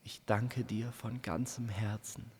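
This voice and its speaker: A man playing a sensitive, grateful male wanderer in a fantasy audio play, earnest and soft-spoken. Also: fairly low pitch, steady tone, takes it slowly, long reverb tail, slight background noise, pitched above the performer's own voice. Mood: sad, grateful.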